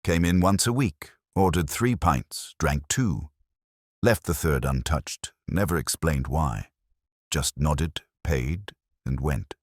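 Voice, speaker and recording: A man narrating a first-person detective story in a measured, reflective, literary manner. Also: extremely low pitch, dry recording, clean studio sound.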